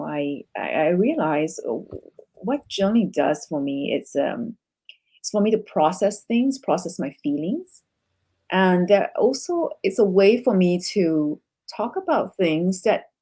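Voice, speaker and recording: Mellow-voiced woman from Singapore. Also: lowish voice. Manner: reflective, searching for words. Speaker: guest. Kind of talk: casual conversation.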